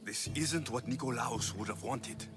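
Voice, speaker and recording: A man's voice: medium pitch, measured pace, music in the background, natural voice. A man playing a proud, defiant Greek mercenary hero in a video game, with a Greek accent and a blunt, sardonic manner. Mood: defiant, pleading. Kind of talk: dramatic video game cutscene acting.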